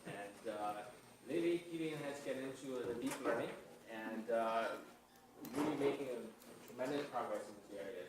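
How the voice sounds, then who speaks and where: A man introducing a guest speaker at a seminar, hesitant with many fillers. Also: medium pitch. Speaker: seminar host. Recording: echoey room from far off, noisy.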